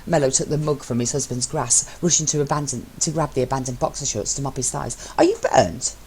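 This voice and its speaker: A woman reading fiction aloud as an amateur narrator, with expressive story delivery. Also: extremely low pitch, fairly quick, a little hiss or hum.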